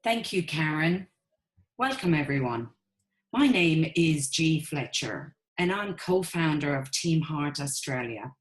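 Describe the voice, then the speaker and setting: A woman's voice: lively intonation, slow. A woman with an Irish accent presenting a webinar to an online audience in an upbeat sales tone. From Ireland. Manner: upbeat sales pitch, addresses listeners as "guys".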